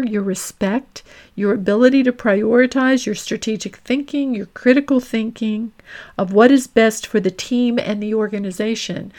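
Woman, mid-pitched voice, career coach, podcast-style instruction, deliberate and emphatic delivery. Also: darkish tone.

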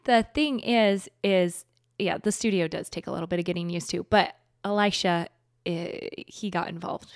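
A young adult woman hosting an interview, chatty and enthusiastic, her voice a little croaky. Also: fairly low pitch, moderate pace, no echo, studio quality. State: slightly croaky voice. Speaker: host. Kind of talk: casual interview and promo. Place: home studio. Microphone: large studio microphone.

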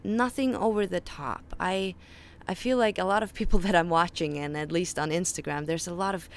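A woman's voice: lowish voice, fairly even intonation, moderate pace, a little hiss or hum. Earnest young woman with a Faroese accent. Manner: earnest and conversational, with frequent restarts. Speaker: podcast host. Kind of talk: casual podcast monologue. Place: outdoor home balcony. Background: birds and distant ships.

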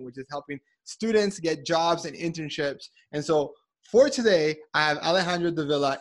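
A man hosting a podcast, welcoming his guest in an upbeat way. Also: a little high. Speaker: podcast host.

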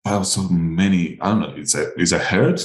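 A man's voice: low-pitched, fairly quick, reverberant. A man from Crete, speaking English as a second language with a Greek accent, chatting eagerly as an interview guest, with fillers and restarts. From Crete, Greece. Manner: hesitant, enthusiastic, many fillers and restarts. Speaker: guest artist. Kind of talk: casual interview chat.

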